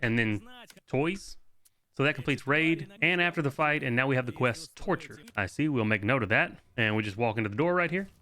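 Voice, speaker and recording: A man with a bright, forward voice hosts a game walkthrough. His delivery is casual and matter-of-fact, with medium pitch. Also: expressive, fairly quick. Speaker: video game guide host. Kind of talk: casual walkthrough commentary, reading quest dialogue aloud.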